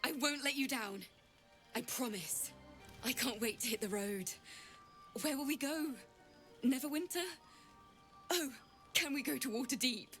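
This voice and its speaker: A woman's voice: high voice, unhurried, long pauses, a bit bright. A woman playing an eager, sweet young bard in a fantasy game, nervous, hopeful, earnest and gushing. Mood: grateful, excited. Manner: gushing, earnest, hesitant starts. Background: music.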